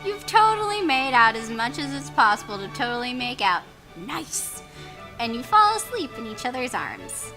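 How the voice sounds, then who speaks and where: A woman hosting a let's play, reading story text aloud in a playful, expressive way with exclamations and casual asides. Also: a little high, moderate pace, rough recording. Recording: music behind the voice.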